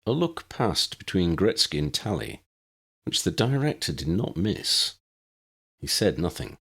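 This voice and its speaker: A man's voice: sits low, very animated, unhurried, a bit bright, slightly rough. A man reading a scripted story aloud as an audiobook narrator.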